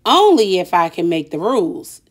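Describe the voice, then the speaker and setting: A woman's voice: on the low side, very animated, moderate pace. Woman putting on a bossy, bragging little boy. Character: bossy, boastful young boy cousin, cheerfully domineering.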